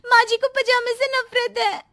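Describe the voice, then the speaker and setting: A woman voicing a sweet, timid young daughter-in-law in a cartoon story, speaking in a high, pleading, fearful way. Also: level tone, measured pace, pushed and bright, heavily acted. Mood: worried.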